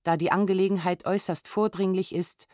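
Lower-pitched woman reading formal documents. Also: fairly even intonation, a little fast, a little mellow, no echo, clean studio sound. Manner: factual, restrained, precisely enunciated. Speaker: narrator reading historical documents. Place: studio.

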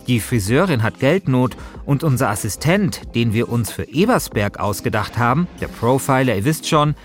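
Male podcast host, lively melodic range, conversational narration, faint music bed. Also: mid-range voice.

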